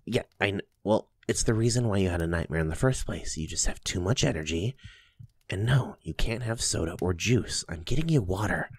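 A doting young boyfriend, played by a man, murmurs in an intimate ASMR roleplay. His voice is low and husky, close and dry. He is soft and affectionate, with a playful teasing streak. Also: lively intonation, brisk, no room sound, heavily acted. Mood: teasing, fond.

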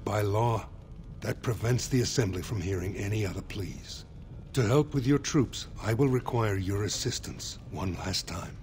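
An actor voicing a dignified older dwarf lord in a fantasy video game, speaking formally and with measured gravity. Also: male voice, lowish voice, a little hiss or hum, natural voice. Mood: grave, formal. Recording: game dialogue over prominent music. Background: loud background music.

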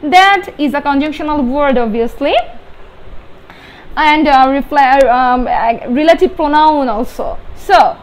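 High-pitched young Bangladeshi woman teaching slowly. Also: rough recording. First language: Bengali. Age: young adult. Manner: halting, repetitive, emphatic on key words. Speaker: English grammar teacher. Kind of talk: whiteboard grammar lesson, explaining step by step. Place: small indoor room with whiteboard. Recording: steady background room noise.

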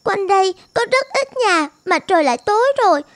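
A woman's voice: extremely high pitch, lively intonation, a little fast, fairly bright tone, dry recording. A woman voicing a devoted, determined fifteen-year-old girl in a cartoon, polite and earnest. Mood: earnest pleading. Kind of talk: animated film dubbing. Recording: music behind the voice.

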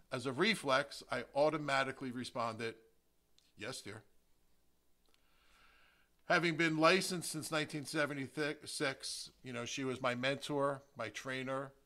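Middle aged american guy hosting a podcast solo, punchy bright voice, leans on his words. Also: mid-range voice. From New Jersey, United States. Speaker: podcast host, real estate agent. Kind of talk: prepared solo podcast introduction.

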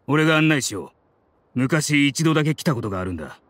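A man's voice: on the high side, very animated, fast, heavy background noise. An actor playing a resolute, dutiful male desert mercenary in an anime game, speaking in a firm, commanding way. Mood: steady.

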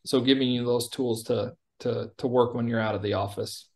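A man giving a conversational product demo over a video call, explaining like a company executive presenting software. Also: medium pitch, fairly even intonation, moderate pace.

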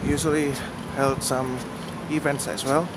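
A man narrating a walking tour outdoors, pointing out landmarks slowly and plainly, with pauses and fillers. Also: medium pitch, flat delivery. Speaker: vlogger giving a walking tour. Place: outdoors on rainy city streets. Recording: outdoor city noise behind the voice.

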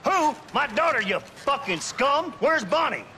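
A man's voice: very high voice, big pitch swings, a little slow, bright, forceful tone, a little hiss or hum, heavily acted. An actor playing a frantic, angry older rancher and father in a video game, agitated, with an American accent. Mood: furious. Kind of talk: video game cutscene acting.

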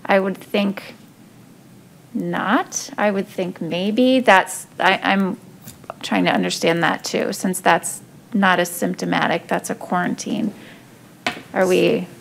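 A woman's voice: on the low side, fairly even intonation, slow, vocal fry. A woman on a school board, thinking aloud while she asks questions in a public meeting. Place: council chamber.

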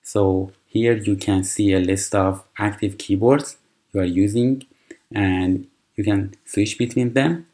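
Brief: native Persian-speaking man, very low and unhurried, tutorial presenter with frequent "uh"s. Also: warm tone. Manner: unhurried, explanatory, with frequent fillers and restarts. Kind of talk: screen-recorded software tutorial.

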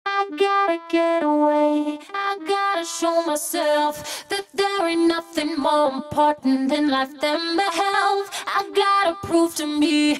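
A very high female pop vocal, sung slowly through a vocoder. Prominent synthesizer music plays with the voice. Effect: vocoder on the singing voice. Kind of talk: pop singing.

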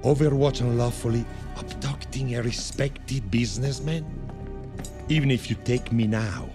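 A man's voice: medium pitch, normal speed, lightly acted. A man playing a smug, cultured crime-boss businessman in a video game, theatrical and condescending. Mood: smug, taunting. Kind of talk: cinematic game villain acting. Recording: music clearly behind the voice.

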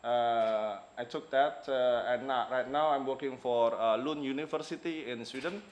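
A man from Indonesia whose first language is Javanese, giving a relaxed conference talk in English with many fillers and small laughs. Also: medium pitch, normal speed, muffled recording. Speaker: conference speaker. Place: dim lecture hall with audience.

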